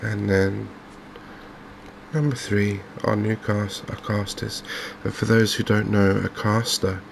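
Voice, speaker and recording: Softly spoken, low-ranged monotone man with creaky voice. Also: slight background noise. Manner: unhurried, hesitant, musing to himself. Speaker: gaming video host. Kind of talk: softly spoken ASMR game commentary.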